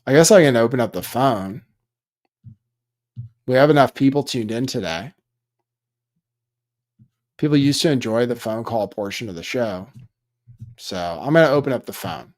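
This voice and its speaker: A man at mid pitch hosts a live stream in a loose, offhand manner. His tone is dry, and he drifts between comments and housekeeping asides. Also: lively intonation, moderate pace, long pauses. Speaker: livestream host.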